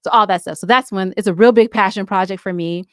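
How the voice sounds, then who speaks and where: A woman's voice: medium pitch, quick pace, no room sound, clean studio sound. A middle-aged woman talking casually as a podcast guest, chatty and candid, with playful asides. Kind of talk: casual interview talk.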